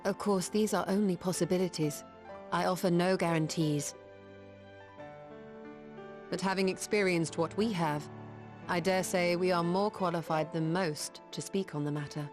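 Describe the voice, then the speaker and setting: Learned female adventurer woman acting for fantasy game drama. Composed, articulate, formal explainer, lower register, music underneath. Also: steady tone, normal speed, long pauses, darkish tone, close to an everyday voice. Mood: earnest, reasoned.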